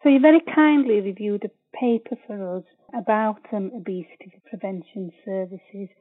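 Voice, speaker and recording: Woman interviewer, husky voice, polite questions, phone line sound. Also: mid-range voice. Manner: polite, measured.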